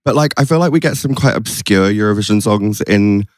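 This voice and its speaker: A man's voice: on the low side, brisk, dry recording, clean studio sound. A British man hosting a podcast in casual chat, with playful, campy banter. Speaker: podcast host.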